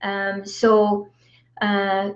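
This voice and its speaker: A woman's voice: medium pitch, monotone, drawn-out words. Chatty Estonian-accented woman, lo-fi livestream. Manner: chatty, many fillers like "you know" and "like". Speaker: spiritual coach on a livestream. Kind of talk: casual motivational monologue. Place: home in the US. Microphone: livestream from phone or laptop. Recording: dull lo-fi livestream audio.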